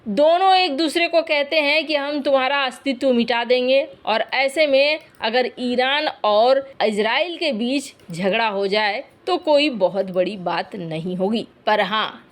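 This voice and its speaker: A woman with a distinctly high voice presents the news. Her delivery is scripted but conversational, walking through each point in an explanatory way. She addresses the listener directly as she narrates.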